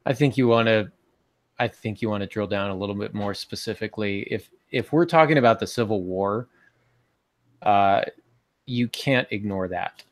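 A man's voice: on the low side, moderate pace, dry recording. A man in his forties giving casual advice as a podcast co-host on a video call, thinking aloud in a tentative way. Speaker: podcast co-host and writing advisor. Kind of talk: casual advisory talk. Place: small home room with bookshelves, indoors. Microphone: video call on earphones.

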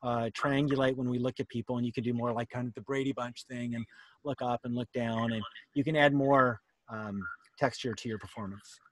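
A man's voice: medium pitch, steady tone, fairly quick, no room sound, poor audio. A man presenting a workshop on a video call, casually explaining things and answering questions. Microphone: computer mic on a video call.

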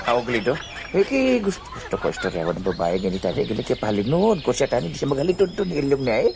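Casting: man as hesitant comic adventurer, grumbling, Ilonggo Filipino accent, animated intonation, audio drama comedy with clear noise behind. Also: mid-range voice, racing. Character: grumbling, goofy male adventurer buddy.

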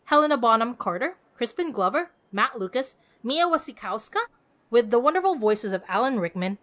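High-pitched, very lively woman podcaster. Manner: expressive, effusive. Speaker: podcast host. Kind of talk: conversational scripted film commentary.

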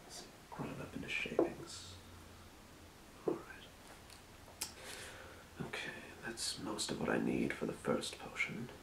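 A man acts as an old-world fantasy potion shopkeeper in an ASMR role play. He murmurs in a breathy, extremely deep whisper full of crackling fry, quietly businesslike and unhurried. The roomy recording has audible noise. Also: very animated, full character voice. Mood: absorbed muttering. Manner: murmuring, unhurried, muttering to himself. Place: small room, indoors at a desk.

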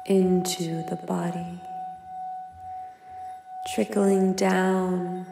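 A woman leading a guided meditation in a soft, soothing, unhurried manner with long pauses between phrases. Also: mid-range voice, creaky. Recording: long echoing reverb. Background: singing bowls and ambient music.

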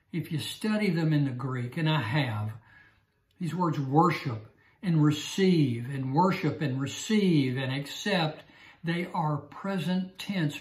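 An older man teaching a Bible study class, slow and emphatic, repeating his key phrases. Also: on the high side, reverberant. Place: small room indoors. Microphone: lapel mic.

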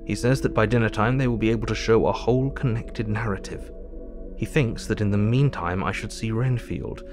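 An actor playing a thoughtful Victorian doctor reading his diary aloud in a formal, measured, reflective way. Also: on the low side, close to an everyday voice. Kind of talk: dramatic audio diary narration. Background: music.

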